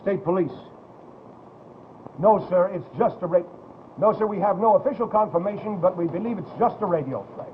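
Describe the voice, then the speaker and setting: A man playing a harried state police desk officer in a radio drama, brisk and exasperated. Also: sits high, close to an everyday voice. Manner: brisk, exasperated, repetitive reassuring. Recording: tinny, noisy old radio sound.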